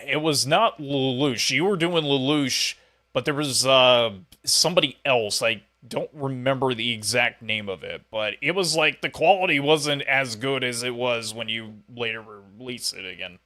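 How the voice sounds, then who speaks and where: A man's voice: mid-range voice, normal speed, sharp tone. A man interviewing someone casually, phrasing his questions and remarks in a loose, rambling way. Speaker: podcast interviewer.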